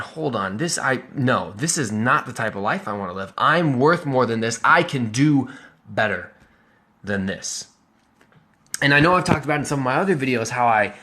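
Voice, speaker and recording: Young man in his twenties, mid-pitched voice, casual earnest vlogger who turns emphatic. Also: fairly bright tone. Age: 20s. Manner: earnest, emphatic, building to bursts of conviction; conversational with restarts and self-corrections. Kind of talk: personal finance vlog over slides.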